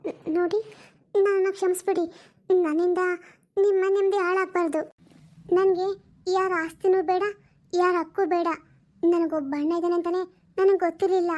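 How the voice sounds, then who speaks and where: Woman as a timid, very high-voiced orphan girl. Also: steady tone, moderate pace, long pauses, a little mellow. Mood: tearful apology. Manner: hesitant, humble, pleading. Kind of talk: dramatic cartoon story dialogue.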